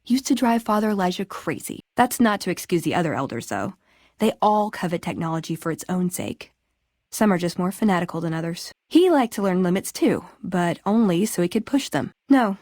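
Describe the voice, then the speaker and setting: An actress playing a wry, inquisitive young tech scribe in a video game, with an American accent and a casual, conversational delivery. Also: female voice, lowish voice, lively intonation, a little fast, a little mellow, dry recording, studio quality. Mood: wry, thoughtful, then wistful. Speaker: video game character actor.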